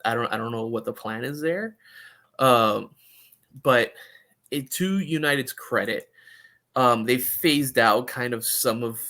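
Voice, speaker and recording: A man discussing soccer casually as a podcast pundit, halting and often repeating words as he qualifies his points. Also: medium pitch, bright, forceful tone.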